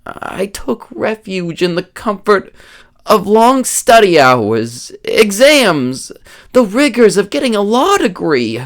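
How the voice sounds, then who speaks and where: A woman's voice: mid-range voice, big pitch swings, takes it slowly. A woman playing a traumatized, bullied young law student with bitter defiance, speaking earnestly and emotionally in a comic dub. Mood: bitter, confessional. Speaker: voice actor. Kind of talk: dramatic comic dub acting.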